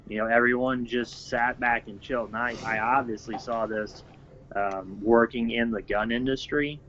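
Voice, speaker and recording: A man's voice: medium pitch, fairly even intonation, moderate pace, a bit bright, lo-fi sound. A man who organizes a gun-rights group, talking in a plain, matter-of-fact way on a casual podcast.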